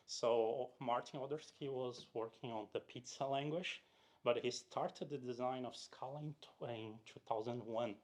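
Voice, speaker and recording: A man's voice: mid-range voice, a little mellow. A man from Brazil giving a casual technical talk to a live audience, chatty and full of fillers and restarts, often asking the crowd questions. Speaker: conference speaker. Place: meetup room in front of an audience.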